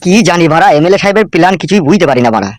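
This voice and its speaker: গ্রামবাংলার টানে একজন পুরুষ কার্টুনে এক লোভী, মুখখারাপ, টিটকিরি-মারা ছোকরা চ্যালার গলা দিচ্ছে।. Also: male voice, high voice, animated, very fast, no echo, clean studio sound. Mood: greedy. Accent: rural West Bengali. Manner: jeering, swaggering. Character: mocking, greedy young henchman, crude prankster. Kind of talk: amateur comedy cartoon dub.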